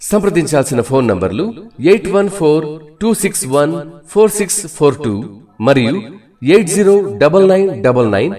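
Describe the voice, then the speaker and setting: A man reading a scripted local shop advertisement in formal, measured announcer phrases. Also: on the high side, a little mellow, distant mic.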